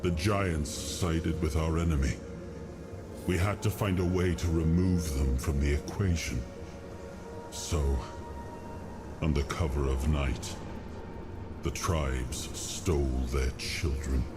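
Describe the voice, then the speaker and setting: Fantasy game role: grave, world-worn king consumed by guilt, extremely low and unhurried voice. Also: steady tone. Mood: somber confession. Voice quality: very deep, heavy. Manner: slow, grave, regretful delivery. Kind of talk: brooding dramatic monologue for a fantasy video game. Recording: music playing behind the voice.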